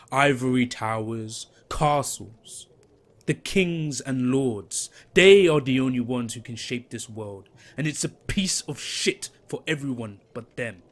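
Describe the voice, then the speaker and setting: A man's voice: medium pitch, close to an everyday voice. An actor playing an angry man who rails against the rich, impassioned and lecturing.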